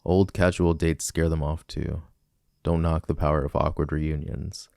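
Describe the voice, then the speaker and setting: Very deep, gravelly flirtatious villain played by a man. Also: flat delivery, moderate pace, a little mellow, dry recording, clean studio sound. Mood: defensive, pleading. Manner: teasing, smooth-talking, intimate. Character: flirtatious rejected male villain wooing a civilian, smug, possessive. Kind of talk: ASMR romantic roleplay.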